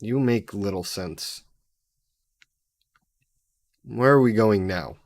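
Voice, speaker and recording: A man's voice: on the low side, takes it slowly, no echo. A man playing a sassy, possessive, centuries-old demon in an audio roleplay, speaking haughtily and sardonically in formal wording. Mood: grumbling, disdainful. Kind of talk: scripted audio roleplay addressed to the listener.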